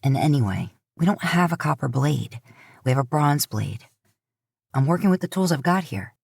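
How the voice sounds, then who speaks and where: A woman voicing a stubborn young male hunter in a lowered, gruff voice. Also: expressive, moderate pace, darkish tone, dry recording, studio quality, exaggerated voice. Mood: defensive.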